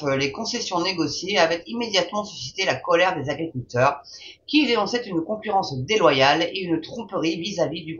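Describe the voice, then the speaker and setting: A woman's voice: sits low, fairly bright tone. A woman hosting a video, reading a news article aloud and breaking off with mocking, informal asides and frequent hesitations. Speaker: video host. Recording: dull lo-fi with faint noise.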